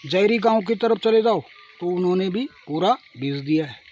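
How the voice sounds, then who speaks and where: A man's voice: sits high, animated, moderate pace, a little mellow. A man from Garhwal speaking with a tinge, talking earnestly to his viewers as friends while outdoors in the hills. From Garhwal, Uttarakhand. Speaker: vlogger. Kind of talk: singing then casual vlog talk.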